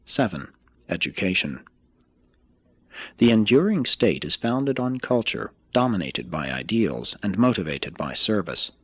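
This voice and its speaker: Male audiobook reader, husky, dry close sound, measured and emphatic scripted delivery. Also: fairly low pitch, very animated, no echo. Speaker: reader of a spiritual text. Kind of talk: scripted audiobook-style reading.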